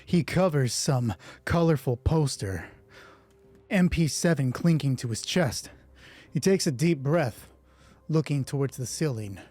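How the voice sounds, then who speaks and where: Man, mid-pitched, mellow dark tone, roleplay narrator, storytelling with casual asides, faint music behind. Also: husky. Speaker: solo roleplay host and narrator.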